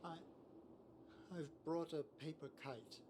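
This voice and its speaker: Earnest young envoy, higher-pitched. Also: male voice, fairly even intonation, slow pace, background noise. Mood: earnest, tender. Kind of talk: scripted video game acting.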